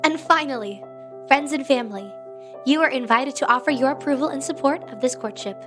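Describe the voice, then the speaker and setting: Woman acting a composed, gracious leader, higher voice. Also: music in the background, lightly acted. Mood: solemn. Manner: measured, gently formal. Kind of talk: audio drama acting.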